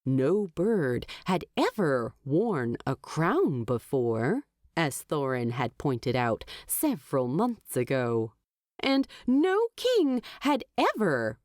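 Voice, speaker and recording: A woman narrating a fantasy audiobook in a measured, wry storytelling manner. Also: sits low, wildly swooping pitch, a little mellow, no room sound, studio quality. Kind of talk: expressive audiobook narration of fan fiction.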